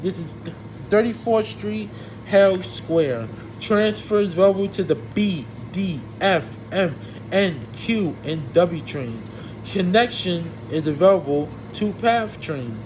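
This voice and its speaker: A man's voice: high voice, slow pace. A man reciting subway announcements in a formulaic way, imitating a train announcer and now and then stumbling over words. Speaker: amateur transit announcer. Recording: loud steady background hum and noise.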